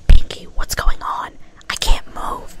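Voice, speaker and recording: A woman's voice: slow pace, close to an everyday voice. A woman voicing a frightened, pleading female pegasus pony captive in a whispered storybook reading, urgent and desperate. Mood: confused fear.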